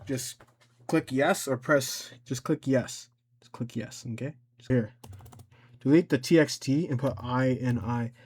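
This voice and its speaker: A man talking casually and unscripted through a screen-recorded tutorial, halting often, repeating his instructions and correcting himself. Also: medium pitch, husky. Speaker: tutorial creator.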